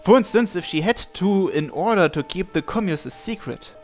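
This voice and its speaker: A man's voice: high-pitched, expressive, normal speed, soft background music. A man hosting a let's-play, reading a visual novel's narration and every character's lines aloud in his own voice.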